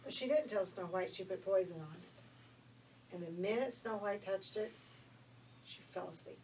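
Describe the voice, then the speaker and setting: A woman's voice: mid-range voice, measured pace, a little mellow. An older woman, a grandmother telling a fairy tale informally in a conversational, folksy, emphatic way. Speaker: grandmother storyteller. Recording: distant mic, faint background noise.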